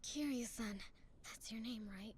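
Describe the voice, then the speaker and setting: Actress as a soft-spoken, guarded young woman. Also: on the high side, unhurried, a little hiss or hum, lightly acted. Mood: guarded, uneasy. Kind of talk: dramatic English video game dub.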